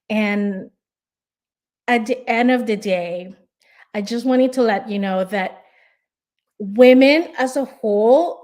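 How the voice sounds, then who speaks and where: A Filipino-accented woman from the Philippines, a real estate investor and coach, giving an earnest, encouraging motivational speech, restarting and repeating phrases as she goes. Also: fairly high pitch. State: tired.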